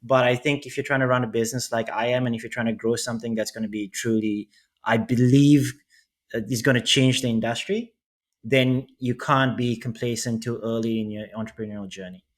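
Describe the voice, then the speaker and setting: A man's voice: mid-range voice, steady tone, a little fast. A young man co-hosting a casual business podcast, speaking earnestly and reflectively, often repeating and rephrasing. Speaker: podcast co-host.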